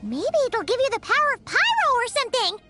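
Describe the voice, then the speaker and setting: A woman voicing a tiny, chatty, childlike fairy companion in a video game, squeaky and bubbly. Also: sing-song, fast, pushed and bright, faint music behind, full character voice. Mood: puzzled. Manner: bubbly, exclamatory, refers to herself in third person. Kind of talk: animated video game character acting.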